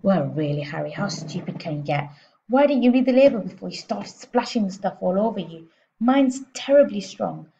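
A woman voicing a shrill, exasperated middle-aged mother who scolds and shrieks in a storybook. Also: expressive, moderate pace, gravelly, mellow, lo-fi sound, slight background noise. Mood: scolding.

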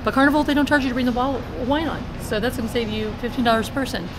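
A middle-aged woman chatting casually to viewers as a travel vlogger, in an excitable, rambling way. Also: on the high side, rapid-fire. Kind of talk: casual vlog chat to viewers. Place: supermarket, parking lot, shuttle bus. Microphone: handheld camera at arm's length. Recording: noisy action camera on location.

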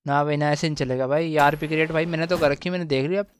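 A man giving casual, excited gameplay commentary, slangy and exclamatory, repeating phrases for emphasis. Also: fairly high pitch, fast talker, slight background noise. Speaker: gaming channel host.

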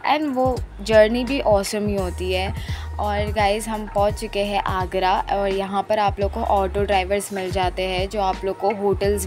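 A young woman with an Indian accent gives a casual vlog voice-over. She keeps dropping English phrases into her talk as she guides the viewer along. Her pitch sits in an ordinary female range, and loud music plays behind her. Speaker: travel and food vlogger.

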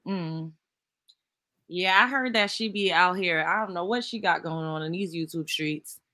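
A woman runs a call-in show from a video call, moving callers along briskly. Her voice is bright. The sound is dry and close. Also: lowish voice, no echo. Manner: brisk, quick to laugh. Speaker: show host. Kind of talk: casual call-in chat.

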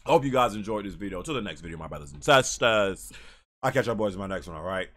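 A man's voice: on the low side, lively intonation, fast talker, fairly bright tone. An American man in his thirties ranting casually to camera as a gaming YouTuber, emphatic and given to repeating phrases. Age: 30s. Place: small bedroom, indoors.

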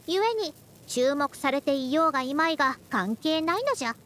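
High-pitched, haughty girlish ancient scholar. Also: expressive, moderate pace, fairly bright tone. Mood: huffy, indignant. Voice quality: girlish voice with elderly turns of phrase. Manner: haughty, lecturing, old-fashioned elder speech. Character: youthful-looking century-old female scholar, proud, bossy senior. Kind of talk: Japanese anime-style game voice acting.

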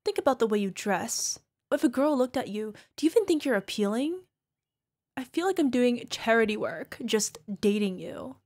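A woman's voice: on the high side, sing-song, a little mellow. A woman acting as a toxic, gaslighting young girlfriend in a realistic ASMR roleplay, dismissive and exasperated. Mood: smug, mocking. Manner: exasperated sighs, scornful, halting defensive delivery. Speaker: voice actor.

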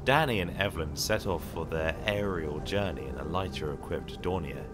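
A man's voice: low voice, normal speed, over loud music, close to an everyday voice. A man playing a subdued, dutiful expedition crewman in an audio drama. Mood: sober, reporting. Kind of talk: audio drama dialogue and log entry.

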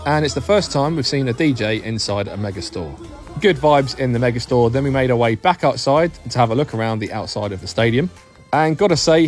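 A man hosting a review vlog in a scripted voice-over, speaking phrase by phrase with falling endings in an upbeat manner. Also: mid-range voice. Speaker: hospitality review host. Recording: music behind the voice. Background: background music.

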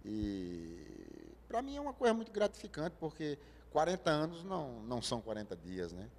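Older man, TV interview guest, relaxed and halting, handheld mic, long studio echo. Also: medium pitch, creaky. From Brazil, João Pessoa. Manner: easygoing, speaks in halting bursts with fillers. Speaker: guest visual artist. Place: TV studio set.

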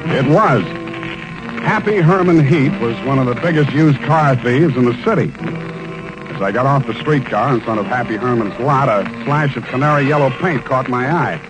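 A cool hardboiled private eye from a vintage radio mystery, played by a man. He speaks in wry, clipped phrases at a middling pitch, with prominent music on the old broadcast recording. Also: normal speed, natural voice, rough recording. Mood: dry narrating. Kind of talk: old-time radio detective drama acting and narration.

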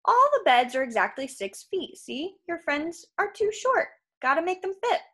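Storybook villain: giant male salesman, jovial, fussy, menacing, very lively pitch , female performer. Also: moderate pace, exaggerated voice. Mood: jovial menace. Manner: folksy sales patter, casually threatening.

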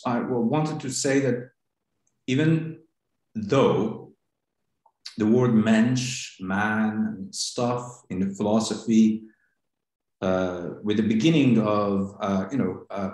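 A man with a Persian accent, a native Farsi speaker, giving a philosophy seminar lecture in a deliberate, halting way with frequent pauses. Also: fairly low pitch, darkish tone. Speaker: seminar instructor. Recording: very echoey room.

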